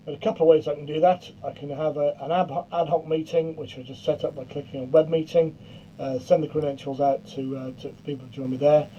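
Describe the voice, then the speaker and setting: A middle-aged man giving an informal software demonstration in a halting way, often stammering and repeating words. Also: fairly high pitch, dull audio. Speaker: presenter of a software tutorial.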